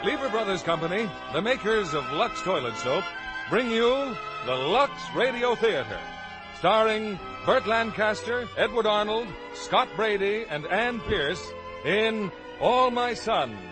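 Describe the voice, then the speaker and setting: Old timey american radio announcer guy, high voice, music blaring behind him. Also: animated, a little slow, low-quality recording.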